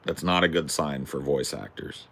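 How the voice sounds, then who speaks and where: A man with a deep, distinctive voice talking in a casual, rambling way, opinionated and emphatic, swearing freely. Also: normal speed, vocal fry, fairly bright tone, slightly rough. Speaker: host.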